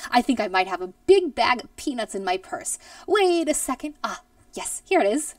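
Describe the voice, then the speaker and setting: A woman's voice: fairly high pitch, sing-song, moderate pace, no echo, distant mic. A woman voicing a nice but bewildered, stubborn schoolteacher in a children's storybook, exclaiming in disbelief. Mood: sweetly apologetic. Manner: exclaiming, incredulous.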